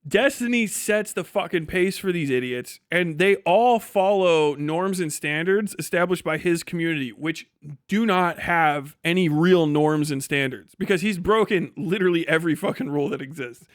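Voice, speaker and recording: High-voiced man ranting, profane and sarcastic. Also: normal speed, dry recording, studio quality. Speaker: livestream host reacting to other streamers. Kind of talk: casual livestream commentary, ranting.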